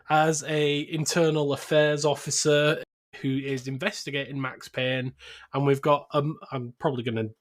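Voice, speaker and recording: Male podcast host, fairly high voice, casual film chat read partly from notes. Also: fairly even intonation, unhurried.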